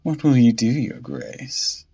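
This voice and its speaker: A man voicing an excitable male servant who fawns over his mistress. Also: mid-range voice, slow pace, gravelly, slightly rough, full character voice. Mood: fawning. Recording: dull lo-fi audio with faint music.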